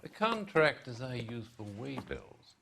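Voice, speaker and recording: An actor playing a smug, blustering property developer in a video game, breezy, condescending and self-assured. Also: male voice, mid-range voice, wildly swooping pitch, unhurried, creaky, noisy background, full character voice. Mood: smugly helpful.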